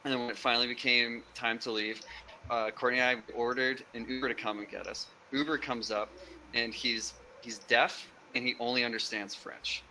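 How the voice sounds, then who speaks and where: A young man, a guest on a podcast, telling a story in a casual, animated way. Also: medium pitch, normal speed, poor audio. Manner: animated storyteller, acts out quoted people.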